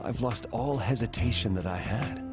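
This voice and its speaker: A man's voice: medium pitch, moderate pace, music in the background, close to an everyday voice. An actor voicing a hesitant, stammering young man who turns earnest, in a game cutscene. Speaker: game character.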